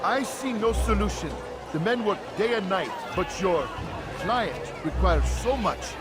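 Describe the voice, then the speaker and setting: A high-pitched, anxious underling craftsman. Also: lively intonation, measured pace, a loud crowd, very noisy. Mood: anxious, defensive. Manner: anxious, protesting. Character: fearful, pleading weapons maker, defensive underling. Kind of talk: dramatic video game acting.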